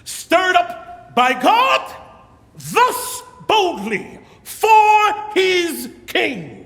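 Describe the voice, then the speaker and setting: High, impassioned churchman in reverberant hall. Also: sing-song, extremely slow, gravelly, fairly bright tone, heavily acted. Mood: outraged passion. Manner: declamatory, fervent. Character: impassioned loyal bishop defending his deposed king. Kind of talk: Shakespearean verse radio acting. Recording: long reverberant radio drama sound.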